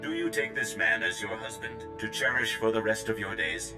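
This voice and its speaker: An actor voicing a prim, solemn droid officiant in a video game, with formal, ceremonial delivery. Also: male voice, on the low side, normal speed, reverberant, over loud music, close to an everyday voice. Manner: formal, flatly ceremonial reciting. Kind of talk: scripted video game acting.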